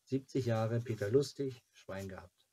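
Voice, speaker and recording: A man in his forties from Germany chatting casually to camera as a fan channel host, in a halting, rambling way. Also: lowish voice, dark tone, slightly rough. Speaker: fan club video host. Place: small cluttered home room.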